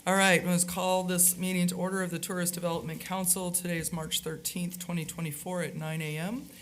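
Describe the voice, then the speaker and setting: A woman's voice: fairly low pitch, little pitch movement. Woman running a county meeting, jokey, noisy hall recording. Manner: joking, brisk and informal. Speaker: meeting chair. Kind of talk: chairing a public meeting, casual banter. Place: county government meeting room.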